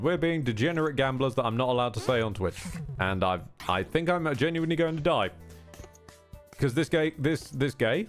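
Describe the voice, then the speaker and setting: Lively, sweary man streaming a game. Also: medium pitch. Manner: sweary, self-interrupting, wry grumbling. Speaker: live streamer. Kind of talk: casual live game commentary.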